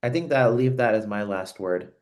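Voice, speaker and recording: A man hosting a reflective conversation over a video call, speaking in an earnest, searching and halting way. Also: medium pitch, darkish tone. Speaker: host.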